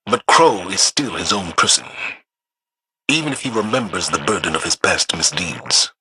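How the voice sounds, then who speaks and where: Very raspy, earnest male warrior. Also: low voice, expressive, moderate pace, bright, forceful tone, heavily acted, rough recording. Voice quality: husky, raspy. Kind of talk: video game drama acting.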